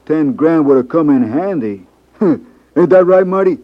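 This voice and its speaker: An American actor playing a sneering, slangy, slow-witted racketeer henchman in a 1950s radio crime drama. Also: a little high, animated. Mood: skeptical. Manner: slangy, sneering tough-guy talk. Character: tough, dim, menacing racketeer henchman. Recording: dull 1950s radio recording.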